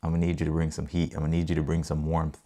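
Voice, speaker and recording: Very deep-voiced casual American man. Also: monotone, racing, dark tone. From United States, grew up in Ohio and Texas. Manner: informal, rambling, repeats phrases. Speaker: tarot reader hosting a livestream. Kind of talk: casual livestream chat and card reading.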